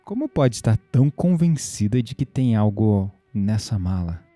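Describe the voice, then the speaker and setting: Very mellow, intrigued young Brazilian man, acted. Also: lowish voice, big pitch swings, normal speed, no room sound, faint music behind. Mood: intrigued, gently teasing. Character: intrigued, gently teasing male companion. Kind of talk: audio drama acting.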